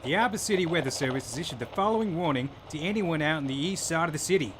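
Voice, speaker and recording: A man plays a cheesy TV news anchor with a smooth, practiced delivery. His voice is high for a man. Faint noise runs under it. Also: expressive, fast talker, close to an everyday voice.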